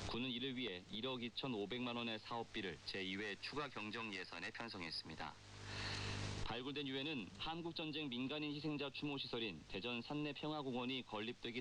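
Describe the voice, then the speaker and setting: Staticky shortwave broadcast, guy reading the news formally, medium pitch, lots of hiss behind him. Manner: formal, measured delivery. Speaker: news announcer. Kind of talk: scripted radio news reading. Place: radio broadcast received over shortwave. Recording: noisy, hissy shortwave radio broadcast. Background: clear static hiss.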